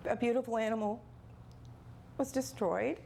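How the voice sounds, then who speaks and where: A woman's voice: fairly high pitch, steady tone, normal speed, vocal fry, warm tone. A woman giving a personal account as an interviewed pet owner for a news report.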